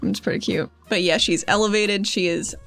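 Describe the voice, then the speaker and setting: A woman's voice: mid-range voice, fairly even intonation, moderate pace, vocal fry, a bit bright, soft background music. A woman talking casually as a player, improvising descriptions of her character in a tabletop role-playing game. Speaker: player on a tabletop RPG podcast.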